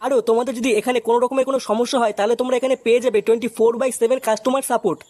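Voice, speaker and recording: A man delivers a scripted promotion. His voice sits high and the reading moves briskly, addressed straight to the listener. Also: fairly even intonation, a little mellow. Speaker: advertising narrator.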